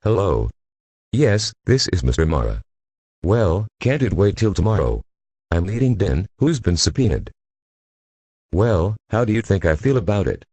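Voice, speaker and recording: Man acting very deep, husky exasperated prosecutor. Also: very animated, normal speed, long pauses, warm tone, no room sound, full character voice. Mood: exasperated, defensive. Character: exasperated, forceful assistant district attorney, husband and father. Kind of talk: radio play acting.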